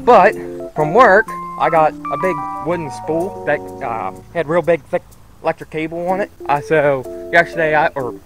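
A man talks his way around his small farm in a loose, folksy ramble, thinking aloud as he goes. His voice sits a little high for a man. He records outdoors on a camera mic, with music clearly audible underneath. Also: moderate pace, poor audio. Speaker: homesteading vlogger. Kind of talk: casual walk-and-talk vlog.

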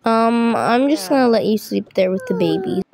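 A young adult woman improvising an animated game roleplay, narrating each action in an offhand way. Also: on the high side, moderate pace, noisy background. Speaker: roleplay storyteller voicing all characters.

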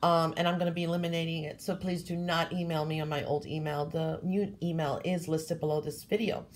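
Female fortune reader, lower register, congested and sniffly, gentle check-ins, faint room hiss. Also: little pitch movement, a little fast. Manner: gentle, repeats "okay?" and "um". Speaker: coffee cup reader. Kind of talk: casual tarot-style reading to camera.

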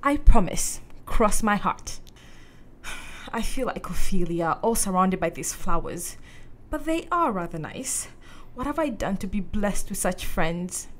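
A sweet, playful young gentlewoman played by a woman in a radio play. She speaks at a middling pitch in a teasing, genteel way, with faint noise behind her. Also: lively intonation, unhurried, lightly acted. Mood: trusting, cheerful. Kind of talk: radio drama acting.

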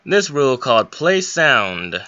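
A man's voice: medium pitch, sing-song, measured pace, pushed and bright, no room sound, highs cut off. A young man giving an informal, step-by-step screen tutorial with a somewhat hesitant way of explaining. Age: young adult. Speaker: hobbyist game modder giving a tutorial.

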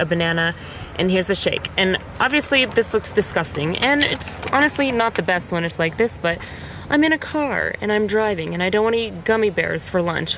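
A woman's voice: on the low side, background noise. An American woman, perhaps in her thirties, chatting casually and informally as a travel vlogger, amused at herself. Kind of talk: casual travel vlog chat. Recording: loud background music under the voice.

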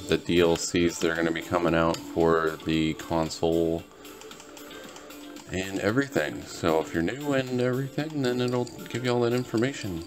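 A man's voice: low-pitched, fairly bright tone. A tired, laid-back man giving casual, rambling gameplay commentary to his viewers as host of a gaming video. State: tired, just woke up.

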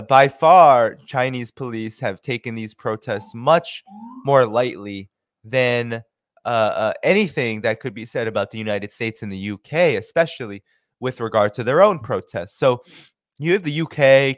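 Young male commentator, studio mic, dry room, animated with lots of uhs. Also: mid-range voice, no room sound. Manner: animated, gesturing, many fillers. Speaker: host and commentator. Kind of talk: commentary on video clips. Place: small home office. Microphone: studio mic with headphones.

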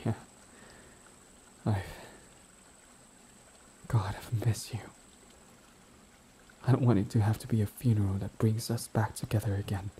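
A man playing a gentle, timid, grieving best friend in an intimate audio roleplay, speaking softly and haltingly as he confides. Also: on the low side, flat delivery, lightly acted. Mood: tender. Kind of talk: boyfriend ASMR audio roleplay, intimate dramatic acting. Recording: noticeable background ambient noise.

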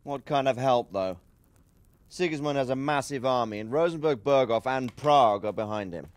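An actor playing a skeptical practical lord. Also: male voice, mid-range voice, lively intonation, normal speed, dry recording, lightly acted. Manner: skeptical, questioning. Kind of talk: video game cutscene dialogue.

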